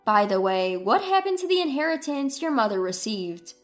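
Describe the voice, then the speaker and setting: A woman's voice: on the high side, big pitch swings, moderate pace, soft background music. A woman playing a scornful, newly independent ex-wife in a story, mocking and cutting. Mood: indignant. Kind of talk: dramatized storytelling dialogue.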